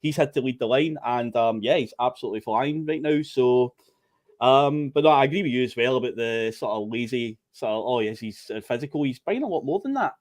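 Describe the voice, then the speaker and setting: A man's voice: mid-range voice, expressive, no room sound. A Scottish man co-hosting a football podcast, chatty and full of ums. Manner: quick, chatty, lots of ums. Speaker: podcast co-host. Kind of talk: casual football chat.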